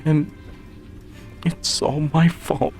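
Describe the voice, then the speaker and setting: A man's voice: a little high, little pitch movement, very slow, soft background music, voice pushed up. A man voicing a distraught, unstable woman in an audio story, tearful and accusing in manner. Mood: tearful, haunted. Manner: sobbing, snapping, shouting.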